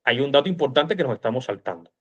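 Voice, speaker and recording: A man's voice: medium pitch, animated, quick pace, fairly bright tone. A man with a Cuban accent talking about history in an informal, emphatic and colloquial way, on a slightly dull recording. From Cuba. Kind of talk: animated informal history discussion.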